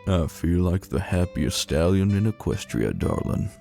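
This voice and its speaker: A man voicing a big, gentle stallion of few words, tender and devoted, in a dramatic fan fiction reading. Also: low-pitched, animated, unhurried, creaky, faint music behind, exaggerated voice. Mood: tender, content. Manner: laconic, tender.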